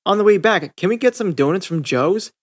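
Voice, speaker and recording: A man voicing a bubbly, excitable girl with a bouncy, eager manner in an audiobook. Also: high-pitched, fairly quick, voice pushed up. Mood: excited. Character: bubbly, excitable, childlike party-loving girl.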